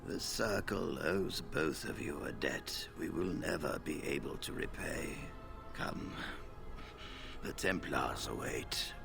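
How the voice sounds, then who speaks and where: An actor playing a weary, dignified elderly male mage leader in a video game, speaking in a hushed, gravelly voice. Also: extremely low pitch, expressive, takes it slowly, heavily acted. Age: older. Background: game music.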